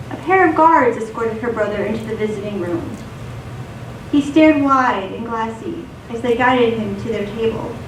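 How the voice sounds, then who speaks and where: A woman reading scripted poetry and stories in a measured, recited delivery for radio theatre. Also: fairly high pitch. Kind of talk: scripted poetry and story narration. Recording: distant echoey stage recording, noisy.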